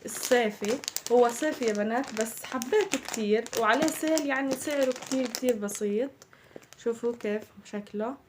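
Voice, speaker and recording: A young woman with a Palestinian Arabic accent, chatting casually through a shopping haul, her voice slightly hoarse from a cold. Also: fairly high pitch, noisy background. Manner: chatty, addresses viewers as girls. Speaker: vlogger.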